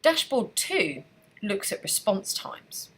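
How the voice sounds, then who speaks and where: A woman narrating a scripted software training screencast in a clear, even instructional manner. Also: medium pitch, slow pace.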